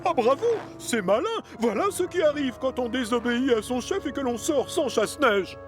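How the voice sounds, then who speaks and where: A man's voice: very high-pitched, lively intonation, normal speed, over music. A man playing a stern but fair railway boss in a French-dubbed children's cartoon, authoritative and emphatic. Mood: scolding.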